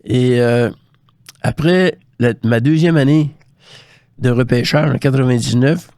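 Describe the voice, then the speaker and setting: A man's voice: mid-range voice, measured pace, darkish tone, no room sound, clean studio sound. An older man with a Quebec French accent telling career anecdotes casually as an interview guest, colloquially re-enacting conversations with quoted dialogue. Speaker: guest, former hockey scout.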